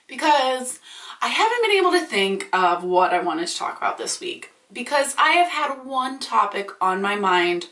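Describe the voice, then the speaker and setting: Late-20s woman ranting into her camera, bright voice, swears a lot, bit stuffy from allergies, echoey room. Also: a little high. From Michigan. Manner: chatty, profane, animated, ranty. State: congested from allergies. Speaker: vlogger. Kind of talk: casual ranting vlog. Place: small indoor room. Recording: roomy echo, slightly distant.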